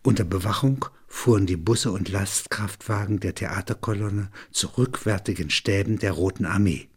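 A man's voice: fairly low pitch, husky. A man narrating in a literary register, as a reader and commentator.

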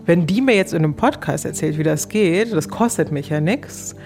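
Female interviewee, thirties, casual recollection, slightly low voice, light music bed. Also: expressive, normal speed, a little mellow. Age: 30s. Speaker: interview guest sharing her experience.

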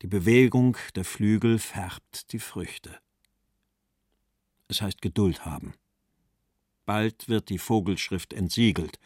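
A man with a fairly low voice recites literary texts in a solemn tone. He takes his time over the words, and the sound is dry, with no room around it. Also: slow, long pauses, darkish tone, husky, no echo. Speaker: reader of quotations. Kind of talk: literary recitation of poetry and letters.

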